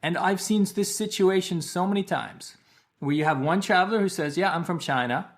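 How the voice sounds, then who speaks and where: Wry Canadian man presenting. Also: high voice. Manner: wry, joking, measured. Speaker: video presenter. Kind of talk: scripted opinion video.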